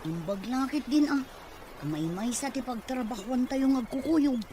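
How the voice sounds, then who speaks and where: A woman's voice: high voice, unhurried, warm tone, a little hiss or hum. A woman acting a hopeful, reassuring young woman in an Ilocano drama, with an Ilocano accent. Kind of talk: amateur Ilocano radio-style drama acting.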